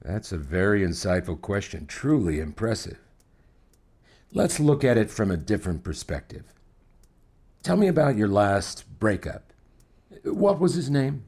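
An actor playing a middle-aged psychology professor in an audio drama, measured and patronizing, flattering with deliberate pauses. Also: male voice, fairly low pitch, slightly rough, full character voice. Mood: patronizing, probing. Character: smooth, flattering, condescending manipulative male psychology professor. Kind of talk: fiction podcast audio drama acting.

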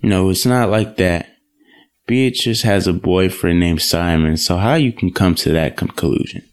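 A man's voice: low voice, measured pace, clean tone. Man playing polite young man, plainspoken. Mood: casual, firm. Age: young adult. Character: polite, playful young man courting a girl. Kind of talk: audio drama dialogue.

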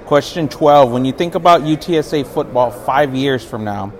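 Brisk man reading interview questions. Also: mid-range voice, a little mellow, a little hiss or hum. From San Antonio. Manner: brisk, affirming with quick yeahs. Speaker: podcast host.

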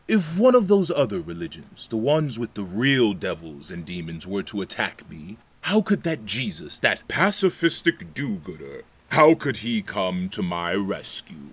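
An actor playing a boastful, hard-drinking ruler in an audio drama, speaking in a grandiose, theatrical manner. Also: male voice, lowish voice, a bit bright, full character voice. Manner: grandiose, theatrical, swinging from musing to bluster.

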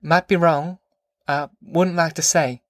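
A performer voicing a cautious, worried country woman from a novel, speaking softly and hesitantly. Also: mid-range voice, fairly even intonation, slow, no echo, studio quality, voice pushed up, lightly acted. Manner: soft, hesitant.

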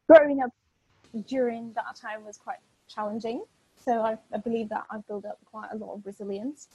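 Husky, gravelly woman. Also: mid-range voice, a little mellow, poor audio. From Ukraine. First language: Russian. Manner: thoughtful, hesitant with many ums. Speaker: podcast guest. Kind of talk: casual interview chat.

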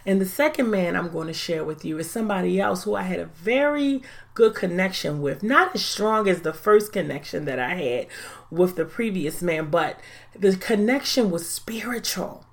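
A woman in her forties hosting a faith-based podcast, talking in an earnest, deliberate, reflective way. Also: fairly low pitch, lively intonation, creaky. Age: 40s. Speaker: podcast host.

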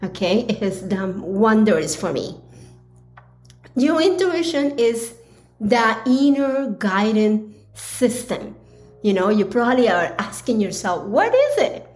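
A woman hosting her own podcast, talking earnestly with a slightly raspy, hoarse voice, pausing and restarting often. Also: fairly high pitch, very animated, a little mellow, faint music behind. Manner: slow, earnest, with pauses and restarts. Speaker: podcast host. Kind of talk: casual solo podcast talk.

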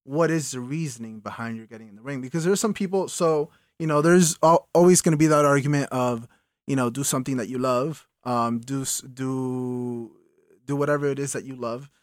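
A man's voice: mid-range voice, fairly quick, dry recording, studio quality. A man interviewing a guest, asking long, hesitant questions full of "um" and "uh". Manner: hesitant, filler-heavy questions.

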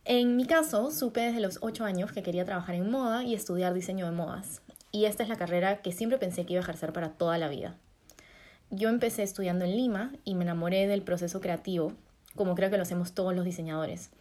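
A young adult woman with a Peruvian Spanish accent, co-hosting a podcast and introducing herself in a clear, steady way. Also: mid-range voice, fast. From Peru. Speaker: podcast co-host, fashion designer.

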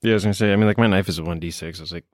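A man's voice: deep voice, very fast, no echo, studio quality. A man chatting casually as a player in a tabletop game. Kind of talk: casual chat.

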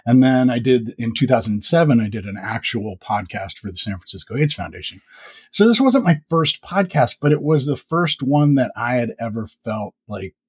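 Husky, reflective man in his fifties. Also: medium pitch, a little mellow. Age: 50s. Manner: reflective, rambling with many fillers. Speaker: podcast host and guest. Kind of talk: casual interview conversation.

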